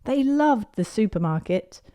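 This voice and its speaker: A woman reading a picture book aloud to young children in an expressive storytelling manner. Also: on the high side, a little slow. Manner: expressive, clearly paced delivery of picture-book narration.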